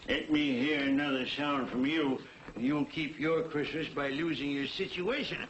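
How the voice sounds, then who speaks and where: A man's voice: on the high side, fairly even intonation, moderate pace, muffled recording, close to an everyday voice. An actor playing a sour, miserly old businessman, curt and contemptuous, in 1940s television drama style. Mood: threatening. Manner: curt, contemptuous, snapping retorts. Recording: noisy vintage 1940s television soundtrack.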